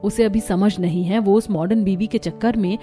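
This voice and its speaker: A woman's voice: mid-range voice, steady tone, moderate pace, warm tone, soft background music, close to an everyday voice. A woman voicing a clever, determined young daughter-in-law in a cartoon story, speaking in a confident, persuasive way. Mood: gentle, consoling. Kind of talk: Hindi cartoon story dialogue.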